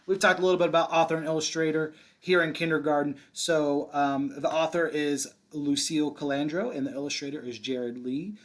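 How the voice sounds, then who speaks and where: A man's voice: fairly high pitch, fairly even intonation, fairly quick, few pauses. A man reading a children's picture book aloud like a kindergarten teacher, with casual asides and encouraging, simple, sing-song delivery for young children.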